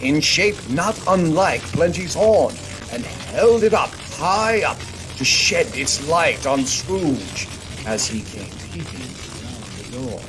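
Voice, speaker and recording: A man narrating a radio play in a theatrical storybook style with deliberate phrasing. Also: a little high, animated, low-quality recording. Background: music.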